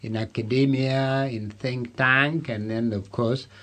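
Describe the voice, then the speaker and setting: A middle-aged man from Uganda, giving measured, deliberate interview answers and repeating phrases for emphasis. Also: medium pitch, steady tone, fairly bright tone. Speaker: candidate being interviewed.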